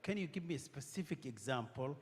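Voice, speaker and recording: A man's voice: medium pitch, expressive, a little fast. A man moderating a panel, summarizing points and prompting speakers in a loose, conversational way with many fillers. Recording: hall reverb with faint background noise.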